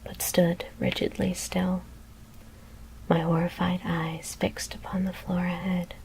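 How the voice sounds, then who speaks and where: A woman whispers a horror story in a hushed, deliberate ASMR reading. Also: lowish voice, flat delivery, low-quality recording. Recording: faint background noise.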